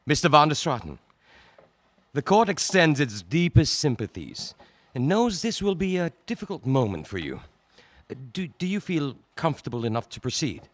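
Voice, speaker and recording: Actor as a formal prosecutor with sweeping pitch. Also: male voice, sing-song, no echo. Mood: formal, courteous. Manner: formal, measured, solemn. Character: formal, courteous male prosecutor in a courtroom. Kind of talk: stage-play courtroom acting.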